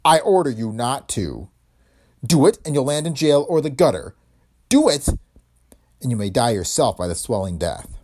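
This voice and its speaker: A man voicing a courtly, sinister bearded man who gives formal, clipped orders, in a husky voice. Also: low-pitched, fairly quick, mellow. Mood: menacing.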